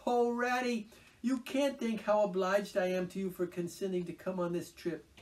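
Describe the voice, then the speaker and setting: A man's voice: sits high, steady tone, moderate pace, recorded from a distance, voice pushed up, natural voice. A man putting on a rapturous, swooning Toad. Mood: enraptured. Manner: gushing, swooping and breathless. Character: rapturous, boastful male toad, lost in dreamy obsession. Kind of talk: storybook reading aloud.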